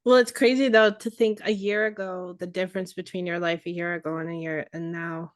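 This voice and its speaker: A woman's voice: fairly low pitch, fairly even intonation, fairly quick, no echo. A woman in her forties co-hosting a podcast, chatting casually with frequent laughs and restarts. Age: 40s. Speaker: podcast co-host. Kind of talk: casual chat.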